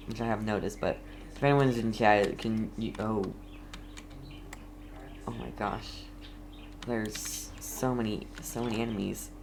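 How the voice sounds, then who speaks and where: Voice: medium pitch, a little hiss or hum. A streamer giving casual gameplay commentary, playful and mock-scolding, often talking straight to the game. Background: game music.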